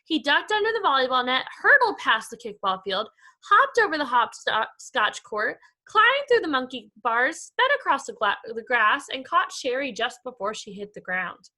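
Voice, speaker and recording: A woman with a bright, high voice reads to young children as their teacher. Her delivery is expressive and very melodic, moving freely up and down as she tells the story. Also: moderate pace. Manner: expressive, addressing young pupils. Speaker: elementary school teacher. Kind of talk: animated children's read-aloud.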